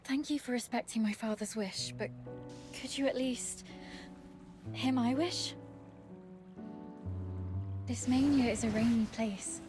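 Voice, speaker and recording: An actress playing a gentle, wistful young daughter in a reflective game monologue. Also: female voice, fairly high pitch, steady tone, takes it slowly, long pauses. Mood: wistful, sorrowful. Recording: clear music behind the voice.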